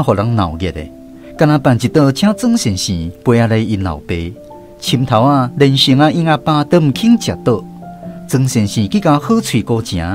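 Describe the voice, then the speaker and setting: A man with a Taiwanese accent narrating a radio drama as an expressive storyteller. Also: medium pitch.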